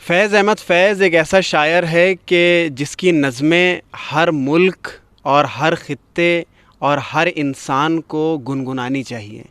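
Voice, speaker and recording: A man presents a literary programme in a formal and courteous manner. His voice is on the high side and resonant, recorded dry and close. He phrases things rhetorically, as a host addressing his audience. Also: fairly bright tone, clean tone, dry recording.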